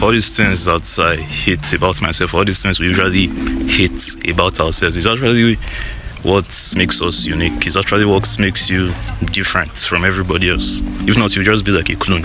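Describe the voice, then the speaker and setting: A man in his early twenties speaking softly in a relaxed, slangy, rambling way in a casual reflective vlog. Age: 20s. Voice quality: soft-spoken, low volume. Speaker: vlogger.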